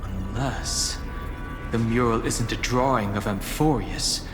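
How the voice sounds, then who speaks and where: A man playing a cool, analytical scholar in a game, musing in a measured, self-assured and articulate way. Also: fairly low pitch. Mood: intrigued, pondering. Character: cool, analytical scholar pondering ancient secrets. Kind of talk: dramatic game monologue. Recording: hall reverb with music behind.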